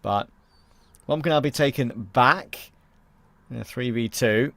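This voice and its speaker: A man's voice: mid-range voice, unhurried, gravelly, fairly bright tone, no echo. A man in his late twenties doing play-by-play commentary on an esports match, trading easy banter with a co-caster. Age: 20s.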